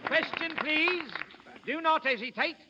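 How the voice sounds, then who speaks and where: A man playing a brisk, courteous music-hall memory performer in 1930s radio acting style, rattling off facts in formal stage patter. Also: extremely high pitch. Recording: muffled 1930s radio broadcast, noisy crowd.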